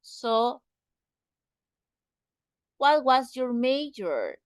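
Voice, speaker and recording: Slow, patient woman English teacher. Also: high-pitched, big pitch swings, dry recording, studio quality. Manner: patient, repeats words for pronunciation; asks students direct questions. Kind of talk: online English class.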